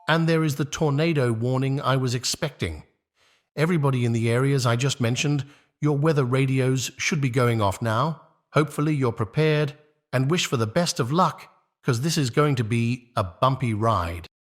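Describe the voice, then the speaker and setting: A man's voice: medium pitch, normal speed, lightly acted. A man playing a grave local weather forecaster, speaking directly and informally to viewers in live warning commentary.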